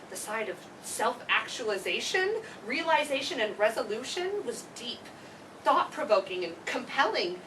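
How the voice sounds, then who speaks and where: A woman playing an effusive, gushing schoolteacher with emphatic, deliberate phrasing in an amateur stage play reading. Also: high-pitched. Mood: impressed. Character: effusive, gushing schoolteacher praising her students. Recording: distant mic, clearly noisy live room.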